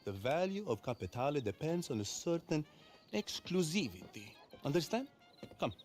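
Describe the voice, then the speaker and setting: An actor voicing a refined, menacing crime boss with an Italian accent, speaking formally and imperiously in a game cutscene. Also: male voice, a little high, very animated, moderate pace, darkish tone, faint music behind. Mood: smooth, calculating. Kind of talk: video game cutscene acting.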